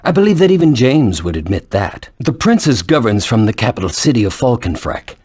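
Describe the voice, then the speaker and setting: An actor voicing a solemn middle-aged leader and father in a video game, speaking with formal, measured authority. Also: medium pitch, big pitch swings, a little mellow, breathy edge, dry recording, clean studio sound. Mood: grave, formal.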